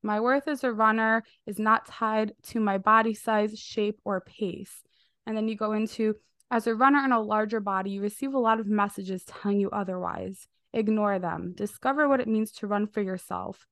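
A woman's voice: medium pitch, steady tone, moderate pace, no echo, studio quality. A young adult woman hosting a podcast interview, sounding a little nasal from a recent illness, enthusiastic with frequent fillers. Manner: warm enthusiastic, many fillers. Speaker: podcast host.

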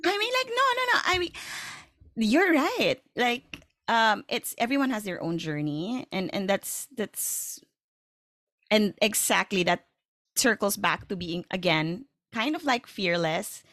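A woman podcast host with a Filipino accent and a mid-pitched voice, recorded dry and close. She chats casually, affirming and encouraging the people she speaks to. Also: very animated, unhurried, a bit bright, no room sound. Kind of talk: casual conversational interviewing.

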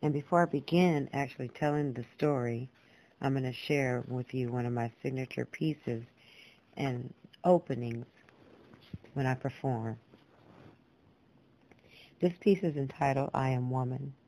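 Low-voiced woman poet, slow and deliberate. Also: mellow, rough recording. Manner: deliberate, with pauses between short phrases. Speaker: featured storyteller and spoken word poet. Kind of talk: spoken word performance with personal introduction.

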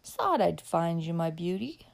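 Woman playing a loud drunken gentleman villain. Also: lowish voice, sing-song, measured pace, creaky, heavily acted. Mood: leering.

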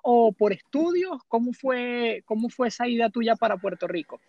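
A man's voice: very high-pitched, lively intonation, moderate pace, creaky, a little mellow, no room sound, lo-fi sound. A man hosting a podcast who introduces his guest and interviews her in an upbeat way, repeating phrases and dropping English fillers. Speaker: podcast host.

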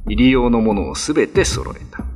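A man's voice: medium pitch, very animated, a little fast. A man narrating a classic ghost story in a dramatic, scripted radio storytelling style. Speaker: storyteller, actor. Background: music.

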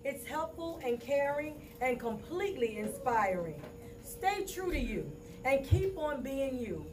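A woman's voice: high voice, big pitch swings, a little slow, far from the mic, background noise. A woman performing spoken word poetry live, in a rhythmic, rhyming recitation.

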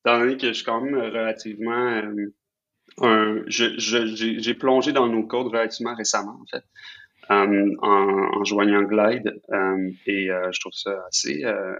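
A man with a Québécois accent speaks as a guest on a podcast. He answers with many fillers and repeated words in a somewhat low voice. The sound comes through a dull, lo-fi remote call. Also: steady tone, normal speed, a bit bright. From Quebec. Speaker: guest, head of design. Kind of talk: remote interview.

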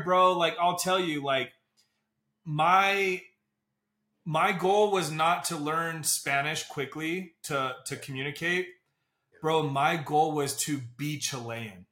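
A man hosting a podcast interview, talking earnestly and quickly and often tagging his points with "right?" and "bro". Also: fairly high pitch, pushed and bright, reverberant. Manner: earnest, fast, says "bro" and "right?" a lot. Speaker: podcast host. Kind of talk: casual interview chat.

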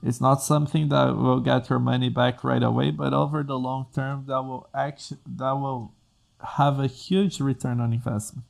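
A man's voice: mid-range voice, flat delivery, normal speed, gravelly. A man from Brazil, a non-native English speaker, giving earnest career advice to programmers in a vlog. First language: Portuguese. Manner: earnest, explains in plain steps with restarts. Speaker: coding school host.

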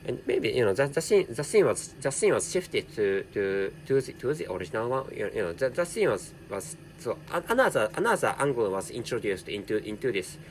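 A man whose first language is Japanese, speaking English with a Japanese accent in a halting solo commentary, repeating words and correcting himself. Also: mid-range voice, sing-song, a little hiss or hum. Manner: halting, with heavy repetition and self-correction. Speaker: podcast host.

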